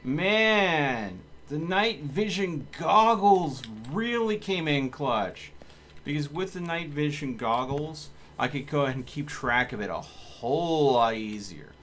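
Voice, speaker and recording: A man's voice: on the high side, animated, slow pace, fairly bright tone, slight background noise. A man giving casual live game commentary, thinking aloud and talking to his viewers. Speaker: video game live streamer.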